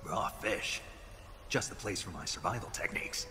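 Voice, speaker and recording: Guy doing a deep gravelly army spy from a video game, deadpan, short questions, big echo. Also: normal speed, close to an everyday voice. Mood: dry, curious. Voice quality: gravelly, gruff. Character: gruff male soldier spy, stoic, dry, middle-aged. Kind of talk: cinematic video game voice acting. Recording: reverberant hall, music underneath.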